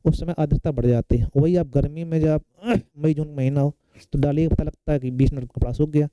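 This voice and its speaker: Mellow man teaching. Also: a little high, fairly even intonation, fairly quick, clean tone, no echo. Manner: explanatory, often checking in with short tag questions. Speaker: science teacher for competitive exam students. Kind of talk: classroom lecture working through exam questions.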